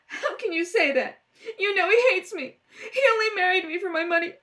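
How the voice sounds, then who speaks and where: Woman acting a high, sobbing, hysterical young wife. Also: fairly quick, bright, forceful tone. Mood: bitter, upset. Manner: wailing, melodramatic. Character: distraught young wife, weepy, hysterical, bitter. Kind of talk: radio play acting.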